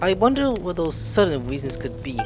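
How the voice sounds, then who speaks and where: A woman gives joking, foul-mouthed game commentary. Her voice is low and swings widely in pitch, with game music behind it. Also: big pitch swings, normal speed. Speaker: gameplay video host. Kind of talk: playthrough commentary with read-aloud dialogue and joking.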